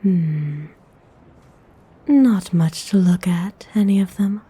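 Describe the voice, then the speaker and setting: A woman playing an aristocratic lady vampire in an ASMR audio roleplay, speaking softly in a formal, refined, half-whispered way, haughty yet benevolent. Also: fairly low pitch, exaggerated voice. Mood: appraising. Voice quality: soft, breathy, half-whispered. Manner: unhurried, formal, coolly refined.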